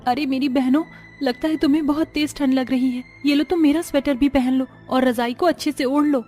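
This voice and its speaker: Woman acting poor young elder sister, high voice, gentle, pleading, cartoon moral tale, faint music. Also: fairly even intonation, brisk, close to an everyday voice. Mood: tender. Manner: tender, pleading. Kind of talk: cartoon moral story dialogue.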